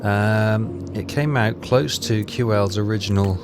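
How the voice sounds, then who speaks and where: A man's voice: lowish voice, unhurried, background noise. A man hosting a game playthrough, thinking aloud in casual commentary.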